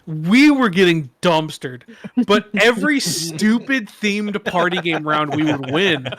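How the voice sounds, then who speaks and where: A man with a fairly soft voice, a podcast guest telling a story in casual chat and often restarting his phrases. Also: sits high, animated, measured pace, a crowd in the background.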